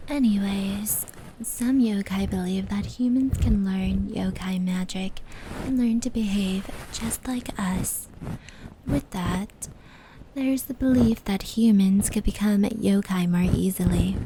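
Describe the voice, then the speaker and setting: A woman playing a gentle, knowing fox spirit in an ASMR roleplay, telling stories in a soft, breathy, soothing voice. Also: mid-range voice, takes it slowly, lightly acted, low-quality recording. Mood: calm storytelling. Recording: steady rain and brushing noise layered in. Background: indoor rain patter and brushing sounds.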